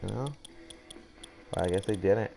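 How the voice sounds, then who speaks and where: A man's voice: sits low, fairly even intonation, normal speed, gravelly, a crowd in the background. A young man doing casual, rambling mock-announcer commentary for a video game draft, swearing now and then. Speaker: gaming YouTuber.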